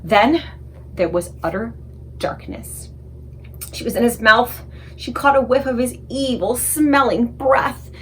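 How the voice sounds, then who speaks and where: A woman narrating a children's storybook aloud in an expressive, scripted read-aloud style. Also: on the high side, slow, a little hiss or hum.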